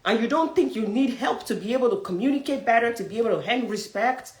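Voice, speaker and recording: Nigerian lady career coach preaching hard at people, pitch all over the place, roomy echo. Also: lively intonation, fast talker. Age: young adult. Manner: forceful, preachy, direct challenges to listeners. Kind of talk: motivational talk.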